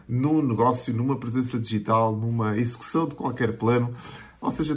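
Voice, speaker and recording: Earnest Portuguese man in his forties. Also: medium pitch. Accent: European Portuguese. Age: 40s. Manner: earnest, builds points in repeated lists. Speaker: marketing mentor. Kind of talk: motivational talk to camera.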